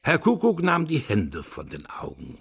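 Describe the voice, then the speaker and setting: A man's voice: medium pitch, huge pitch swings, unhurried, vocal fry, a bit bright, husky, full character voice. A man narrating a children's radio play as its storyteller, reading scripted prose. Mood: descriptive.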